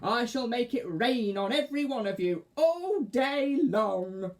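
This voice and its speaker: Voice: mid-range voice, lively intonation, unhurried, voice pushed up. A storyteller voicing a nasty female witch who lives in a television, snappy, shrieking and cackling, for a children's story. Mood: gloating, spiteful.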